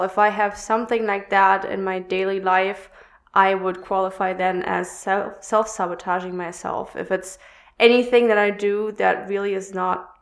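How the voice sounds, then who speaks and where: A woman hosting a podcast, asking curious questions in a friendly, casual way. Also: medium pitch. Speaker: podcast host.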